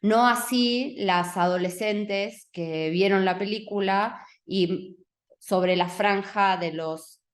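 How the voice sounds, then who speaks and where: A woman's voice: mid-range voice, takes it slowly. A woman director, answering on a video call, thoughtful and searching for words with restarts. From Mexico. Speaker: film director.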